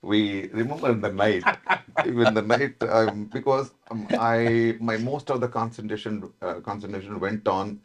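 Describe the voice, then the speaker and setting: A man with a South Indian accent, an actor telling stories in a casual interview, laughing often and restarting his sentences. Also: lowish voice. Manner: animated storytelling, laughing often, halting restarts.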